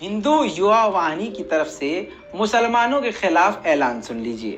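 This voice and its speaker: Male commentary host, sarcastic and pointed, lively swinging pitch, faint noise behind. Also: moderate pace. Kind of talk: scripted video commentary, addressing viewers.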